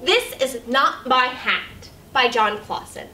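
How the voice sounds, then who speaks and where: A young woman reading a picture book aloud as a children's storyteller, with animated, exaggerated delivery, in a small room at a distance from the mic. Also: sits high, takes it slowly. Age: young adult. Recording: roomy, distant camera mic, faint noise.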